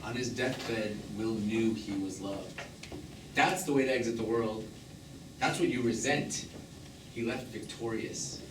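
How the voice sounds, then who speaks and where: A man's voice: lowish voice, measured pace, lightly acted. Man acting restless younger man, echoey distant stage. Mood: pointed. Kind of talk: live stage play monologue. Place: small theatre stage, recorded from the audience. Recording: echoey theatre from far off, noisy.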